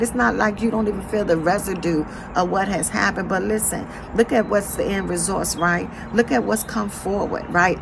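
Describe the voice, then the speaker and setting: A hoarse woman gives a faith pep talk from inside a vehicle, her voice gravelly and strained. She keeps checking in with "right?" between phrases. Road noise hums clearly behind her. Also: medium pitch. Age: adult with children. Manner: preachy, repeats "right?" after phrases. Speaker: motivational speaker.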